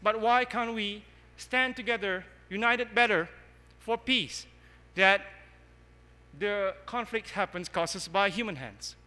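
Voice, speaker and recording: Earnest young man, bright rallying speech. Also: high-pitched, slow. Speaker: candidate giving a campaign speech. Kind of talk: prepared speech at a podium. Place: large conference hall. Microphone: podium microphone. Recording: long hall reverb.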